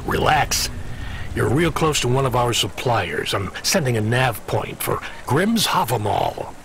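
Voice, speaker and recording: A man playing a gruff, fatherly, streetwise dispatcher in a video game, heard over a noisy radio call. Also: mid-range voice, very animated, unhurried. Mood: reassuring. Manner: colloquial, streetwise, laid-back. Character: gruff, fatherly middle-aged male delivery dispatcher.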